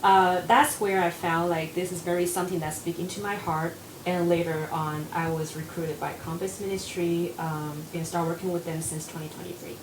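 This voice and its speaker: A woman's voice: fairly low pitch, steady tone, normal speed. Young Taiwanese woman counselor, earnest. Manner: earnest, with many fillers. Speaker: mental health counselor. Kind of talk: casual panel discussion. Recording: echoey room with audible noise.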